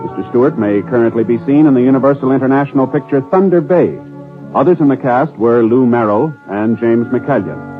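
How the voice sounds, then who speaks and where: A man giving a formal network announcement and credits in crisp, 1950s announcer style. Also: medium pitch. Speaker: radio announcer. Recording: tinny old radio broadcast with music.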